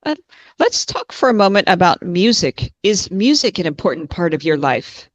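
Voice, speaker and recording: A woman examiner leads the talk with short, plain follow-up questions. Her voice sits slightly low for a woman. The sound is dry and close. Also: sing-song, moderate pace, no echo. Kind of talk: mock speaking exam interview.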